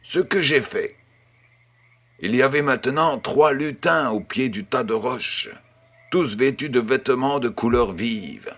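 A man playing a kindly grandfather who tells a bedtime tale in a measured, gentle, husky voice. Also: medium pitch. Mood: storytelling, wondering. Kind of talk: scripted audio storytelling.

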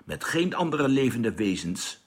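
An actor playing a commanding, decisive male spaceship captain in a radio play, with a husky, authoritative delivery. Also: medium pitch, very animated, measured pace. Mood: calm, commanding. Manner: authoritative, giving orders.

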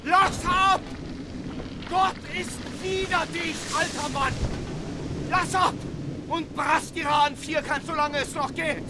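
An actor playing an alarmed, pleading, God-fearing first mate in a radio drama, urgently shouting against loud noise. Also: male voice, extremely high pitch, steady tone, slow, pauses often. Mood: desperate pleading. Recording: loud windy sea noise behind.

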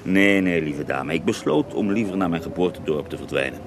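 A man's voice: deep voice, fairly quick. An actor playing an earthy Russian holy man who tells his life story with theatrical relish in a radio drama. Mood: wry. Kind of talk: radio drama acting in interview form. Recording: noisy older radio drama recording.

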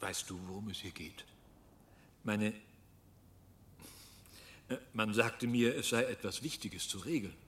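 A polite, gently awkward man in his sixties in a radio drama. His voice is fairly low, over a faint rumble. He speaks with courteous hesitation. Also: unhurried, pauses often. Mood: puzzled. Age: 60s. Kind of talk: German radio play acting.

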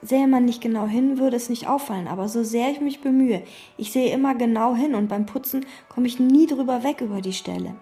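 A quiet, obsessive hotel maid. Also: female voice, fairly high pitch, a little fast, husky, soft background music. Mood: detached. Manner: calm, terse, flat short sentences. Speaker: chambermaid. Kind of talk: radio play, inner monologue and dialogue.

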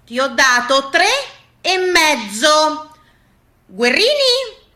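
A woman voicing a haughty female Latin teacher who scolds in a pompous, drawn-out, declamatory way on stage. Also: sits high, very animated, bright, forceful tone, pitched above the performer's own voice, exaggerated voice. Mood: haughty scolding.

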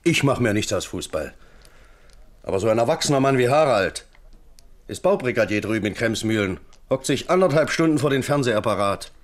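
An actor playing a grumbling but hospitable small-town householder, chatting wryly in a radio crime drama. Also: male voice, mid-range voice, expressive, a little fast, lightly acted.

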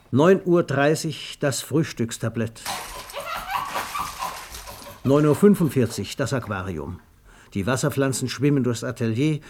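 A man's voice: mid-range voice, background noise. A man reads a scripted radio story in a theatrical, emphatic storytelling manner. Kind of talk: dramatic scripted storytelling narration.

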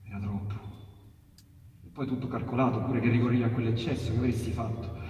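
Dramatic Roman reciter in an echoey hall. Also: male voice, medium pitch, steady tone, quick pace. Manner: dramatic, with large swings in loudness. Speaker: reciter of dialect sonnets. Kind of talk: theatrical verse recitation with piano. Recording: echoey hall, distant mic, piano.

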